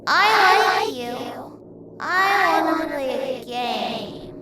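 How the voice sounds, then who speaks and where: A distorted demon speaks in the high voice of a small boy, dragging each word out very slowly. It is eerie and taunting, echoing through heavy noise in a horror audio drama. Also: lively intonation, full character voice. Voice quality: distorted, corrupted, childlike. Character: malevolent demon mimicking a small boy's voice, taunting. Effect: distorted voice effect. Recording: echoey, distant, loud noise.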